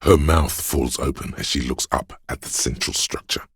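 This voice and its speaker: A man voicing a brooding, all-seeing spirit of a city in a video game, narrating slowly and solemnly in a gravelly, husky voice. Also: a bass voice, steady tone, no echo, clean studio sound, full character voice. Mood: brooding, observant. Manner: solemn, poetic, measured. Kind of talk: slow atmospheric second-person narration.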